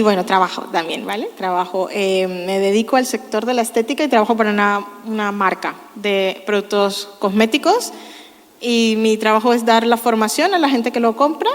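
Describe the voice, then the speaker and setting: A woman in her thirties hosting a church youth panel, chatty and jokey, exclaiming often. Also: on the high side. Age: 30s. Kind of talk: casual church talk. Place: church hall. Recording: long hall reverb.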